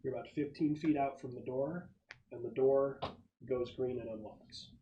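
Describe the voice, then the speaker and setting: A man narrating a tabletop game as game master, describing scenes in a halting, improvised way. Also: mid-range voice, steady tone, warm tone, dull audio. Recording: noisy room, clicks.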